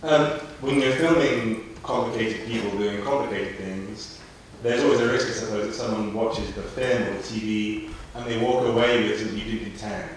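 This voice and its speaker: A man's voice: lowish voice, fairly even intonation, normal speed. A man putting a long, considered question to a guest at a public screening event. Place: echoey screening venue at a festival. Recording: very echoey hall, distant mic, faint noise.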